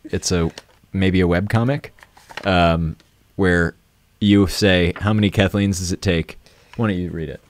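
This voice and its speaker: A man's voice: low voice, steady tone, measured pace. A man hosting a live stream in casual, informal, unscripted chat. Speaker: livestream host.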